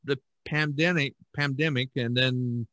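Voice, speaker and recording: A man hosting a casual podcast discussion, talking haltingly and often restarting his phrases. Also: medium pitch, steady tone, pushed and bright, no room sound, studio quality. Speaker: podcast host.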